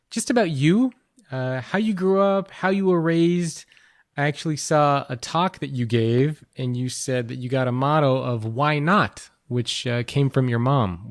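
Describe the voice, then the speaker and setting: A man's voice: medium pitch, dry recording, studio quality. A man hosting a business podcast, reading a polished intro and then interviewing his guest. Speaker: podcast host.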